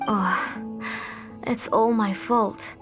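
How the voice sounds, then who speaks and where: A woman voicing an anxious, doting, fussy mother in a video game, caring and gently chiding. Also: mid-range voice, fairly even intonation, extremely slow, music in the background. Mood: apologetic.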